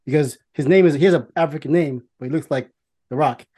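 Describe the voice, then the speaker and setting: A man in a casual movie discussion, talking in a rambling, halting way with plenty of swearing. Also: fairly high pitch, dark tone. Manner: rambling, halting, profanity-laced. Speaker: podcast co-host.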